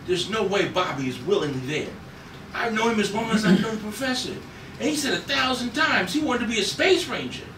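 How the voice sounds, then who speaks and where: A man's voice: high voice, animated, fairly quick. A man reading an eager, outspoken space ranger sidekick at a script table read, with a brash, informal manner. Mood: indignant. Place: room at a group table read. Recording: echoey noisy room, far from mic.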